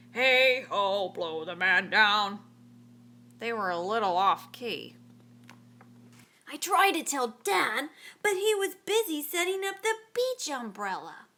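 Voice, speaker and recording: A woman's voice: high voice, bright, forceful tone. A woman hosting a read-aloud show for children, narrating storybooks in a theatrical, playful and exaggerated way.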